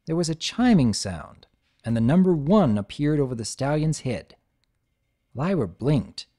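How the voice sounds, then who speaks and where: A man's voice: fairly high pitch, a little slow. A man narrating a dramatic reading of a fan fiction story. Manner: expressive storytelling.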